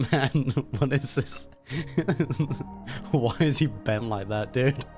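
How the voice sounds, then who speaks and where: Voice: medium pitch, extremely slow, over music. A game streamer giving casual reaction commentary in short, offhand muttered asides over a cutscene.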